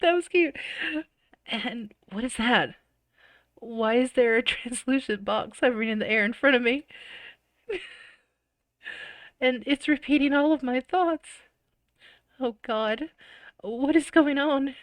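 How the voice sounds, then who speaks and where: A woman's voice: sits high, takes it slowly, pauses often, dry recording. A woman reading a visual novel aloud with dramatic expression and casual, giggly reactions.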